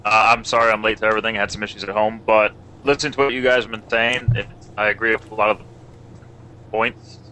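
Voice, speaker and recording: A man in a casual online voice chat, talking loudly and eagerly about a game's competitive modes. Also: fairly low pitch, little pitch movement, fast talker, a little hiss or hum, low-quality recording. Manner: loud, enthusiastic, talks in long runs. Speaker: competitive gamer in a stream discussion. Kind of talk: casual group chat over voice call.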